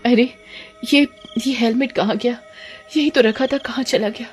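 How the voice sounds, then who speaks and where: A woman voicing an anxious, gentle young wife who is secretly a mermaid, in a breathy, fretful cartoon style. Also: fairly high pitch, normal speed, music in the background, pitched above the performer's own voice, lightly acted. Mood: panicked, breathless. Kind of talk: cartoon voice acting.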